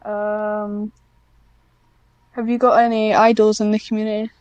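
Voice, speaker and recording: A woman's voice: mid-range voice, completely flat delivery, measured pace, darkish tone, clear, resonant tone, no room sound, muffled recording. A woman chatting casually as a podcast guest, hesitant and self-deprecating, with a dry sarcastic streak. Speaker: podcast guest, ASMR script writer. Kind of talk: casual interview chat.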